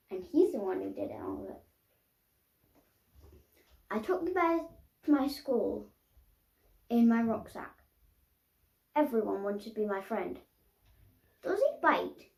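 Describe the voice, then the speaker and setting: A woman reading a children's picture book aloud expressively, pausing to explain the pictures. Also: medium pitch, expressive, gravelly, mellow. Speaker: storybook reader. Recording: roomy, echoing sound.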